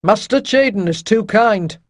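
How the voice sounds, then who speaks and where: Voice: mid-range voice, expressive, unhurried, dry recording, studio quality, pitched above the performer's own voice, lightly acted. A reader voicing a commanding male ship captain who speaks in a clipped, authoritative way. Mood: stern.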